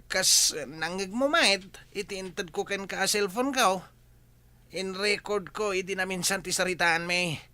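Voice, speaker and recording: A man's voice: high voice, measured pace, sharp tone, clear, resonant tone, no echo, full character voice. An actor playing a nervous, pleading male henchman who stammers and hesitates, with an Ilocano accent, in a radio drama. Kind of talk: Filipino radio drama acting.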